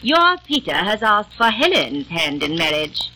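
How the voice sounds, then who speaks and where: A woman's voice: mid-range voice, very animated, a little slow, bright, forceful tone, exaggerated voice, low-quality recording. A middle-aged woman in a 1940s radio drama playing a haughty, imperious aristocratic mother.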